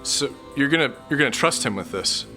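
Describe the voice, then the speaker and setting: A man's voice: mid-range voice, animated, fairly quick, music in the background, lightly acted. A man playing a timid, awkward young man who hesitates and stammers. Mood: hesitant.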